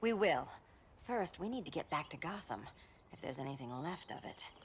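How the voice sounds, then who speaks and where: A resolute game vigilante with a low voice. She is dry and sombre, with faint ambience behind her. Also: expressive, moderate pace. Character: disillusioned, resolute female undercover vigilante. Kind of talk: video game cutscene acting. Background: faint ambient noise.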